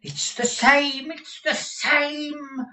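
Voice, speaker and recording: Storybook role: reader doing a frantic, stuttering old clerk, voice pitched high and shaky. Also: expressive, slow, fairly bright tone, far from the mic. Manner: stammering, frantic, pleading. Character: agitated eccentric older male clerk. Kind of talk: audiobook character voice.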